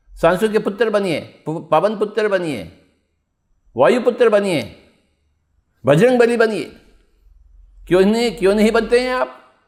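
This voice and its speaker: A high-voiced man delivers a spiritual discourse as a meditation teacher. He exhorts his listeners, repeating key phrases and putting rhetorical questions to them.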